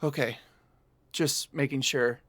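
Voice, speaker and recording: A man's voice: mid-range voice, steady tone, slow pace, a bit bright, no echo. A young man acting as a stubborn game master in a naturalistic audio drama. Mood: uneasy, insistent.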